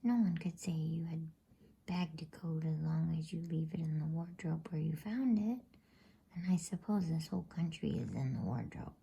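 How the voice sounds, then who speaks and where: A woman voicing an earnest, decisive schoolboy in a storybook, in a breathy, emphatic ASMR whisper. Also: deep voice, moderate pace, dark tone. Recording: faint background noise.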